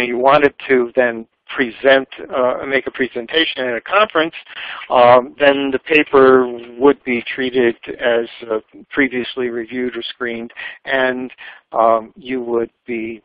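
An older man speaking informally in a webinar, halting and wandering into asides. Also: medium pitch, dry recording. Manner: halting, digressive, trailing asides. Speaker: speaker at a professional society webinar. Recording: dull, lo-fi webinar audio.

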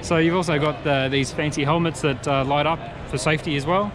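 A man interviewing a stand owner, upbeat and chiming in with quick agreements. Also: fairly high pitch, fairly even intonation, a crowd in the background. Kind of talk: casual interview. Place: large indoor expo hall.

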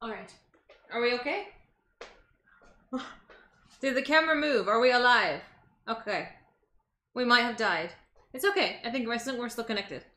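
A woman's voice: fairly high pitch, expressive, moderate pace, pauses often, pushed and bright. A young woman from Los Angeles chatting casually with her stream while cooking in a home kitchen, answering chat as she chops. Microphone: desk microphone on the counter.

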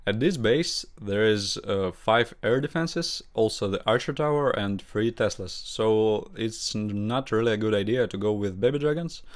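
A young man with a Russian accent, a gaming channel host commenting over his gameplay in halting English, with grammar slips. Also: on the low side. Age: young adult.

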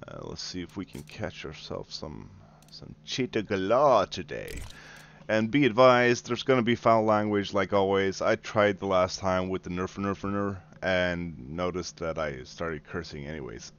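Deep, slow gaming host. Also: male voice, lively intonation, highs cut off. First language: Swedish. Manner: mocking, taunting, casual swearing. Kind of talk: sarcastic gameplay commentary. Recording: game gunfire under voice.

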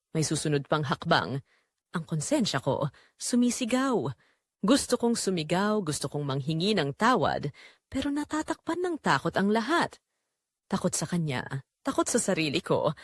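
A woman's voice: lowish voice, no echo, studio quality. A woman storyteller reading a scripted first-person romance drama in a dramatic narrating style.

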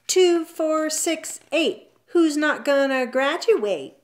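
A woman's voice: fairly low pitch, sing-song, unhurried. A woman voicing a mean young schoolgirl cat from a storybook, taunting and dismissive. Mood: taunting chant.

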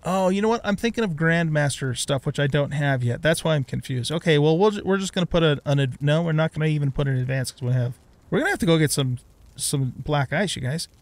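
Casting: middle-aged male gamer host, gravelly fry, slightly high pitch, casual rambling commentary. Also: expressive, fast, no echo. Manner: rambling, thinking aloud. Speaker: let's play host.

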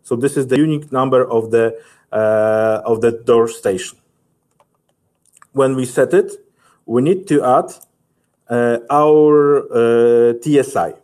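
A man in his thirties with a Polish accent, giving a halting, methodical software tutorial as a technical specialist. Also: medium pitch. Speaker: technical specialist presenting a webinar.

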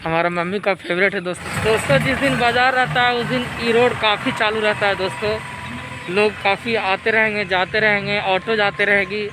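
A man's voice: sits high, fairly even intonation, fairly quick. A young man vlogging on a phone held at arm's length, chatting casually to his viewers as he walks outdoors to a busy village market. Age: young adult. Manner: chatty, addresses viewers as friends. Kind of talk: casual daily vlog narration. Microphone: phone camera held at arm's length. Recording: crowd and traffic noise behind. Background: market crowd and passing vehicles.

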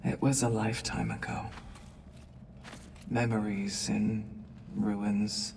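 An actress playing a proud Valkyrie warrior in a video game, courtly, formal and deliberate. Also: female voice, a bass voice, little pitch movement, vocal fry, a little mellow, heavily acted. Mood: sombre, forgiving. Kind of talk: video game dramatic acting. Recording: distant, echoing cave, faint ambient noise.

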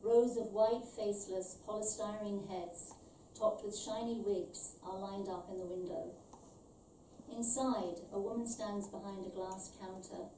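A middle-aged woman reads a short story aloud at a measured, deliberate pace of delivery. Her tone is very dark and mellow. She is heard a little way off in an echoey room with slight noise. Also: mid-range voice, steady tone. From born in Ireland, raised in London. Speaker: author reading her own short story. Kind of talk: scripted literary reading aloud. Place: small event venue with stage, indoors. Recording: roomy, distant, faint background noise.

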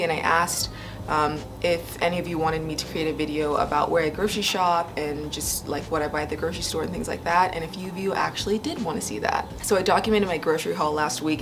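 A woman's voice: on the low side, noisy background. A young woman vlogging from her living room, chatty, upbeat and grateful, talking straight to the camera. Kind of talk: casual vlog talk to camera and voice-over. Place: living room, seated on a sofa.

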